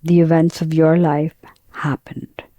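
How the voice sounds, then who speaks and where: Slow, mellow woman guiding meditation. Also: deep voice, dry recording, muffled recording. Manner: calm, measured, gentle delivery with long pauses. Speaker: yoga and meditation teacher. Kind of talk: guided meditation.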